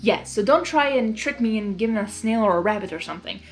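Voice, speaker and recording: A woman's voice: mid-range voice, animated, faint music behind, close to an everyday voice. A woman voicing a mischievous male chaos spirit from a storybook, smug and theatrical, drawing out his persuasive offers. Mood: persuasive, mock reasonable. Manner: theatrical, drawn-out persuasive delivery.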